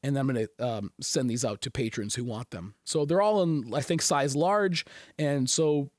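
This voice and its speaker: A slightly hoarse man hosting a show, talking candidly in a rambling casual monologue full of fillers and restarts. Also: mid-range voice, normal speed, no echo, studio quality. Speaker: host.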